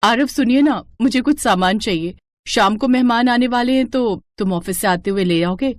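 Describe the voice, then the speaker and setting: A woman's voice: a little high, normal speed, close to an everyday voice. A woman voicing a sweet, dutiful young Indian daughter-in-law in a cartoon story, polite and earnest. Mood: sweet, requesting.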